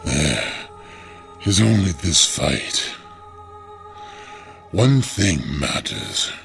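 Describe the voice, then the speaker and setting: Dying revenant, very deep and creaky. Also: male voice, very animated, fairly bright tone, faint music behind, heavily acted. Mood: weary, solemn. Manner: halting, breathy sighs between broken phrases. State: gasping, mortally wounded. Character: dying revenant warrior, weary, honorable, at peace. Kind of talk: dramatic audio fiction acting.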